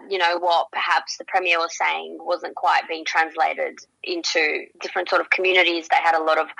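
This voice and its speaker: Low, gravelly woman. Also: pushed and bright, dry recording, low-quality recording. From Australia. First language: Polish. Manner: conversational, many fillers like "you know" and "kind of". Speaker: journalist and author, interview guest. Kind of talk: radio interview answers.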